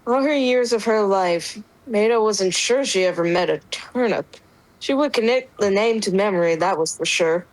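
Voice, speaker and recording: A woman's voice: medium pitch, expressive, normal speed, dry recording, low-quality recording. A woman reading fiction aloud and chatting casually as part of a group dramatic reading. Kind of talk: story narration and casual chat.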